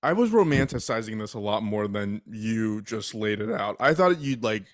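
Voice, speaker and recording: Male podcast co-host, low voice, playful reactions, dry close recording. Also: lively intonation, moderate pace, no echo. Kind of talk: casual chat.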